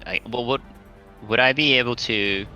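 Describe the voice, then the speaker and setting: A man's voice: mid-range voice, moderate pace, sharp tone. A man playing a tabletop roleplaying game, chatting casually and asking questions in a hesitant way. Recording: music under the voice.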